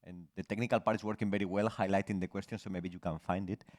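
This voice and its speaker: A man's voice: sits low, steady tone, fast. A man moderating a business conference panel and reading out audience questions. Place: conference hall.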